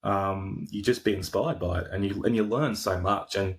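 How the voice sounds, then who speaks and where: An Australian man with a lowish voice, chatting in a relaxed, meandering way. He pauses often with fillers and restarts his sentences as he tells his story. It sounds like a video call. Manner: laid-back, rambling with frequent fillers and restarts. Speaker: podcaster and coach. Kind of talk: casual interview chat.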